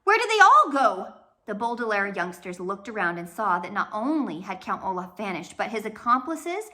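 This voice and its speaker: A woman reading a children's novel aloud as a storyteller, dramatic, with swells and hushed drops. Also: medium pitch. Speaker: storybook reader. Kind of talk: expressive read-aloud narration of a children's novel.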